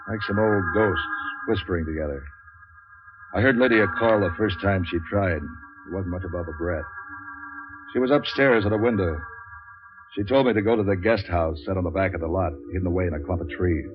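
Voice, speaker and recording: An American actor playing a wisecracking, unflappable private eye, deadpan and terse, in 1940s radio noir style. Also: male voice, deep voice, brisk, over music, natural voice. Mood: moody narration. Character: cynical, wisecracking hard-boiled male private investigator, unflappable. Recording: dull 1940s radio recording.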